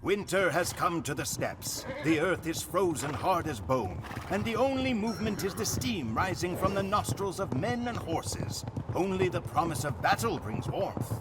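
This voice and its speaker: A grave Mongol chronicler. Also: male voice, fairly high pitch, noisy background. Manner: grave, measured storytelling. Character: male Mongol chronicler narrating war history. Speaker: narrator. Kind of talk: scripted game cutscene narration. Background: music.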